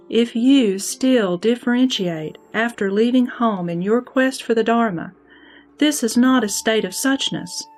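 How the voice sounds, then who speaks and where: A woman playing a calm, confident goddess who teaches formally in a scripture audio drama. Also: on the high side. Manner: formal, instructive. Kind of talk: audio drama sutra recitation.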